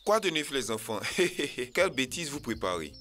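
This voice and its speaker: Jovial, playful cartoon uncle. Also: male voice, medium pitch, very animated, normal speed, pushed and bright, faint music behind. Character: jovial uncle who greets and quizzes children. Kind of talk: animated children's cartoon dub.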